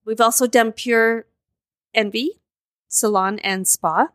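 A woman's voice: fairly high pitch, steady tone, takes it slowly, a bit bright, no echo, clean studio sound. A woman guest who hosts her own show, talking enthusiastically and at length in a casual interview at a film festival. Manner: enthusiastic, talkative.

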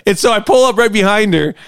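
A man, a pastor and show host, chatting casually and telling stories in an animated way, acting out quotes. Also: high voice, no echo, clean studio sound. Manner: animated, acts out quotes mockingly. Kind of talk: casual chat and storytelling.

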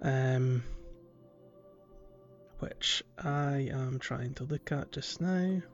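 A man chatting casually as a podcast co-host, thinking aloud in a halting way. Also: medium pitch, lo-fi sound, faint music behind. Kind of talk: casual chat.

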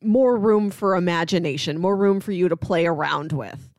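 A woman's voice: fairly low pitch, moderate pace, a little mellow, dry recording, clean studio sound. A woman co-hosting a podcast, giving commentary in a casual conversational style. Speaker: podcast co-host.

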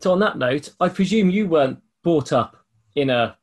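A man's voice: fairly high pitch, animated, normal speed. A man interviewing a guest, asking questions hesitantly and repeating words as he goes. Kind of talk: conversational interview.

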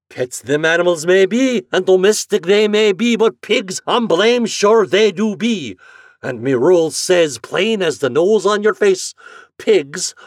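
A man voicing a stubborn, rule-bound male express agent with a thick Irish accent, talking loud and firm. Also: high voice, dry recording, studio quality, voice pushed up.

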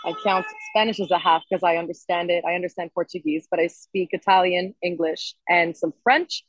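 A woman's voice: fairly low pitch, brisk, dry recording, faint music behind, rough recording. A woman singer-songwriter chatting casually and enthusiastically as an interview guest. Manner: enthusiastic, expressive.

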